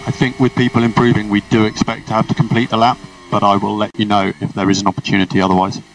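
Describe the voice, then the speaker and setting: A man's voice: lowish voice, level tone, fast talker. A man working as a race engineer, giving clipped, procedural instructions over a motorsport team radio. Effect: team radio transmission. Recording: noisy distant radio, car and engine noise.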